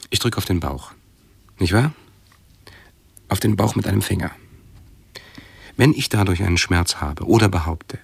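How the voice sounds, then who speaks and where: A man's voice: sits low, gravelly, breathy edge, no echo, exaggerated voice. An actor playing a pedantic, hesitant male hypochondriac intellectual who keeps correcting himself in a radio play.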